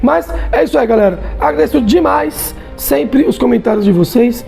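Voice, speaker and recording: Gravelly high-voiced Brazilian man. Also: moderate pace, darkish tone, soft background music. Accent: Brazilian Portuguese. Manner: chatty, tags sentences with "né" and "tá". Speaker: comic book reviewer. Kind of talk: casual video review.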